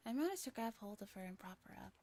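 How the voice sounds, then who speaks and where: A woman reads a story aloud in a soft-spoken ASMR style, gently and with an occasional stumble. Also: mid-range voice, sing-song, fast talker. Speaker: streamer reading a visual novel aloud.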